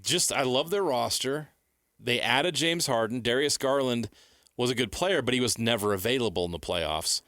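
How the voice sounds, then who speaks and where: A man's voice: medium pitch, moderate pace, fairly bright tone, dry recording, studio quality. An American man hosting sports talk, giving opinionated predictions in short, declarative sentences. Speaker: sports talk show host.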